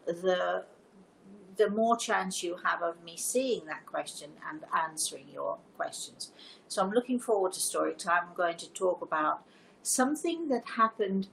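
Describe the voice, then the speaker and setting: An older woman hosting a casual livestream, chatting to viewers in a deliberate way with emphatic repetition. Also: mid-range voice, gravelly, low-quality recording. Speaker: live show host and storyteller. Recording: noticeable background noise.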